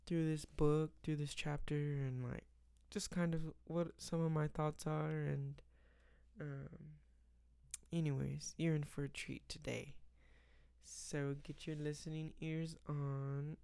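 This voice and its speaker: A podcast host with a raspy, tired late-night voice, casually retelling a Bible story and praying, rambling with many fillers. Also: mid-range voice, warm tone. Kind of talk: casual faith podcast with prayer.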